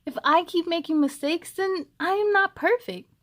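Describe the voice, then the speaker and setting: A woman's voice: very high-pitched, moderate pace, voice pushed up. A woman voicing an earnest young boy in a storybook, plainspoken and childlike, unsure but trying hard. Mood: doubtful.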